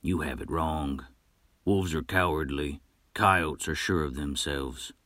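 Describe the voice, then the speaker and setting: Guy doing a grumpy old man, curt and gruff, deep low voice. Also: slow pace, pushed and bright, no echo, clean studio sound, exaggerated voice. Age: older.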